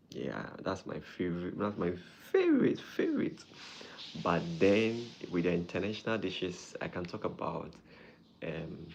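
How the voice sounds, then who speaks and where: A man from Ghana, hosting a live stream as a doctor and warmly greeting viewers by name. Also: low voice, creaky, slight background noise. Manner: friendly, greets viewers by name, repeats phrases.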